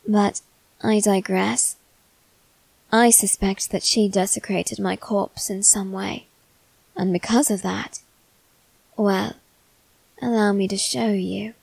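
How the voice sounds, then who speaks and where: A woman voicing a condescending immortal demon boy in an ASMR roleplay, speaking softly with a teasing, smug manner. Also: medium pitch, fairly even intonation, slow, creaky, pauses often, husky, exaggerated voice, poor audio. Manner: soft-spoken, teasing and condescending.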